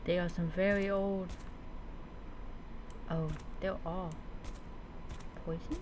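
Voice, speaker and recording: A woman's voice: lowish voice, flat delivery, slow pace, noisy background. A woman doing in-character commentary as she plays a roleplay adventure game, musing dramatically.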